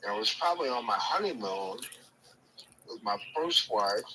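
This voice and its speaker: A man in his sixties hosting a live talk panel, speaking in a measured, deliberate way. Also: fairly low pitch, lively intonation, background noise. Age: 60s. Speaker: host. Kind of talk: casual chat on a live talk show.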